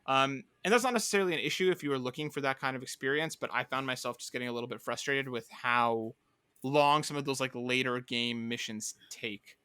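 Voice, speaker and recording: A man's voice: medium pitch, fairly quick, sharp tone, clear, resonant tone, no room sound. A man hosting a podcast, chatting casually about video games in a rambling, enthusiastic way. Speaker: podcast host.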